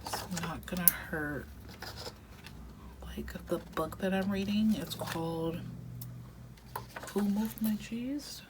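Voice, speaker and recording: A woman in her thirties chatting casually while decorating a planner, reflective and talkative, often dropping into soft half-whispers, her voice going a little raspy from a long day of recording. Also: lowish voice, takes it slowly, long pauses, background noise. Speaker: planner vlogger. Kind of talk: casual plan-with-me chat.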